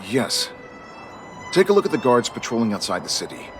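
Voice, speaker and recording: A man voicing a stern but fair military guard captain in a video game, earnest and deliberate. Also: mid-range voice, sing-song, soft background music, close to an everyday voice.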